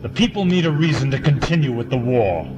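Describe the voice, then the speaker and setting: An actor playing a stern, ruthless military marshal in an audio drama, speaking in an emphatic, self-justifying way. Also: male voice, mid-range voice, animated, brisk, background noise, close to an everyday voice. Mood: defensive, insistent. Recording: dramatic music behind voice.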